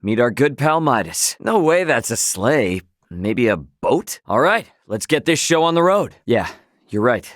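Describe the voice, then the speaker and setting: A man voicing a brash, loyal young male captain in a video game, talking in an informal, earnest, expressive way. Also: medium pitch, unhurried, no room sound, clean studio sound. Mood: easygoing, determined.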